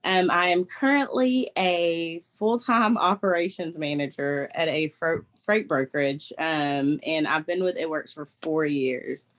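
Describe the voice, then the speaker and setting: Low-voiced woman from Texas. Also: measured pace, few pauses. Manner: hesitant, many ums. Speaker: guest sharing her story. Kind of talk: personal testimony. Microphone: video call.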